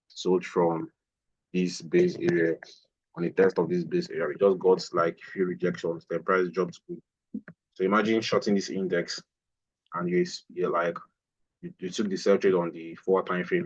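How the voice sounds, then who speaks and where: Low, flat-voiced man teaching trading. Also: normal speed, slightly rough, highs cut off. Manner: explanatory, repeats phrases while pointing at a chart. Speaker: trading instructor. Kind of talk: live online trading lesson.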